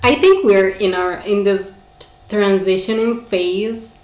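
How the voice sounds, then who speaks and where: Woman, 20s, podcast co-host; mid-pitched, hesitant, reflective, echoey room. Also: fairly even intonation, takes it slowly, a little mellow. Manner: hesitant, thinking aloud, agreeable. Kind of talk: casual chat.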